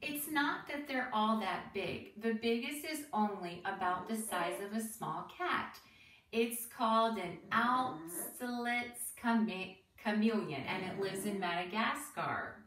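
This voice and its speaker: A woman reading a children's book aloud like a teacher, expressive and deliberate, pausing for asides and questions to young listeners. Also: medium pitch. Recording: roomy, distant mic with faint noise.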